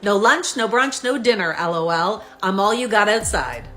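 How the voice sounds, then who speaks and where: A woman's voice: mid-range voice, animated, moderate pace, fairly bright tone, faint music behind. A woman giving celebrity news commentary and reading out posts.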